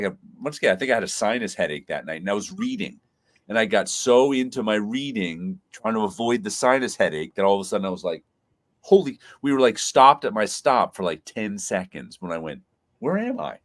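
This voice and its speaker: A man hosting a casual live chat, congested from sinus trouble, rambling through stories with frequent laughs and restarts. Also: fairly low pitch. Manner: rambling storyteller who laughs often and restarts phrases. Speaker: host.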